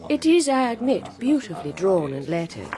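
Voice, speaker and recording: A woman's voice: medium pitch, sing-song, brisk, a crowd in the background, close to an everyday voice. An actress playing a scheming, haughty middle-aged aristocratic mother in a period radio drama, with an arch, insinuating manner. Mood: imperious, coy. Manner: arch, insinuating, mock-reluctant.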